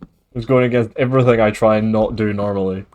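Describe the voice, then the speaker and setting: A man's voice: on the low side, fast, highs cut off. A man from Scotland with a mild Scottish accent, streaming a game and casually chatting with viewers. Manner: relaxed, chatty, reads and answers chat with frequent fillers. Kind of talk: casual gaming stream chat.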